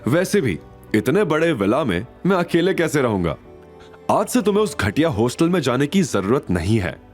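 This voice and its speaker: Narrator guy as a smitten young man, protective n coaxing, animated mid voice, music behind. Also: medium pitch, moderate pace, pitched above the performer's own voice, close to an everyday voice. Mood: hesitant, inviting.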